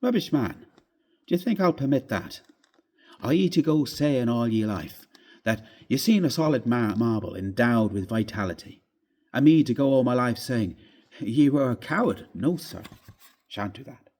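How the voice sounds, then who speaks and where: Irish doctor played by a male reader, young, hearty and scoffing, emphatic storybook character with a soft-toned voice. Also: medium pitch, expressive, moderate pace, close to an everyday voice. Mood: scornful insistence. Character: raw-boned, brusque, jovial young Irish doctor, male.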